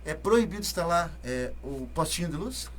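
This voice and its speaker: A man from southern Paraná with a rural southern Brazilian accent, speaking as a politician being interviewed, emphatic and halting, repeating words and asking rhetorical questions. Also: a little high, lively intonation, slight background noise. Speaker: state deputy, interview guest. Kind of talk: political interview, spontaneous speech.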